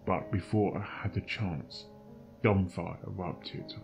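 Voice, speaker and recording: A man's voice: sits low, takes it slowly, vocal fry, a little mellow, dull audio, heavily acted. A man playing a haunted, weary pony doctor and investigator in an amateur audio drama, grave and dramatic. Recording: prominent guitar music behind voice.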